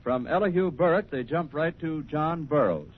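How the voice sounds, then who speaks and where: A man's voice: on the high side, lively intonation, normal speed, slight background noise. A man with an American accent, a formal and polished announcer reading scripted lines in 1930s radio style. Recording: muffled, tinny old radio recording.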